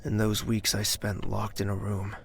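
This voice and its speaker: An actor playing a weary, guarded young prince in an audio drama. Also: male voice, fairly low pitch, monotone, normal speed, creaky, slight background noise. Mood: tense, brooding. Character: wary, weary young prince recovering from confinement.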